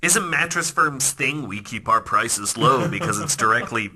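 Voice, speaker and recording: A man's voice: mid-range voice, expressive, measured pace, sharp tone, slight background noise. A man co-hosting a podcast in casual, bantering conversation. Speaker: podcast co-host.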